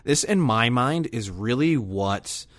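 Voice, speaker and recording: A man chatting casually as a podcast panellist, thinking aloud in a halting way. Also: mid-range voice, animated, a bit bright, no echo. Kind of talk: casual podcast chat about video games.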